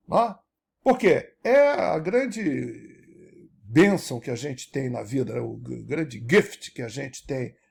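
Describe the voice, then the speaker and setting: Older male lecturer, 70s, gravelly and husky, animated delivery with a wide, swooping pitch. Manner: animated teacher, keeps asking "né?" to check understanding. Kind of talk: recorded university-style lecture.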